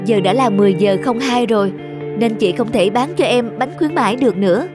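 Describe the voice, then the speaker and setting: A woman voicing a polite young fast-food cashier in a cartoon, explaining things courteously. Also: fairly high pitch, music in the background, lightly acted. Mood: apologetic, polite. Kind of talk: cartoon voice acting.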